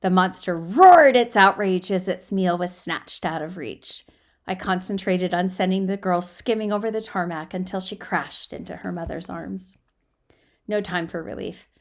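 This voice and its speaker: A woman reading a story aloud as an amateur narrator, in an expressive, emphatic storytelling manner with occasional stumbles over words. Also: on the low side, moderate pace. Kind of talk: dramatic audiobook-style fiction narration.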